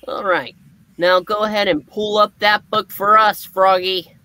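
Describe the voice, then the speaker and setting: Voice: medium pitch, steady tone, unhurried, pushed and bright, no room sound. A co-host presenting a live comic book reading in a showy, theatrical announcer style with sudden emphatic bursts. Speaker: co-host and reader of a comic book puppet show.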